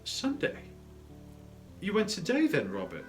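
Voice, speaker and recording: A man voicing a tender, grieving working-class mother in a dramatic storybook, high-pitched and fragile, with music behind. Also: sing-song, unhurried. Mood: anxious, tender.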